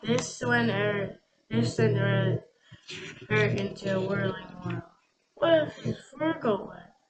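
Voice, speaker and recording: Young storybook reader, slow and halting, repeats words, music behind. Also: mid-range voice, rough recording. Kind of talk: reading a children's picture book aloud.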